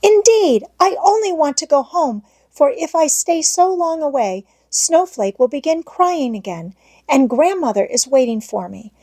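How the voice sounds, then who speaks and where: Woman playing a high-pitched homesick little girl. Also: dark tone. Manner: pleading, plaintive. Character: homesick, earnest, frightened little orphan girl.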